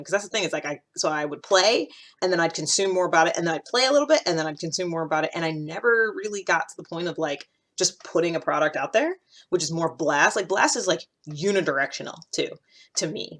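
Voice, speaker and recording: Woman in her 30s, kinda low voice, chatty podcaster just talking casually to the camera, animated. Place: small indoor room with a cloth backdrop.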